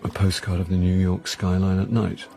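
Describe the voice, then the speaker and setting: A world-weary British jobbing actor in middle age, with a lowish voice. He is dry and sardonic, forever undercutting himself, in the manner of a radio mystery's narrator-hero. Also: monotone, normal speed, gravelly, mellow, soft background music. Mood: wry narration. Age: middle-aged. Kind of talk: radio drama acting, with first-person narration.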